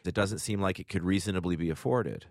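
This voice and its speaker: A man's voice: deep voice, fast, no room sound, studio quality. A man hosting a news interview, introducing guests and asking probing questions. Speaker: news host.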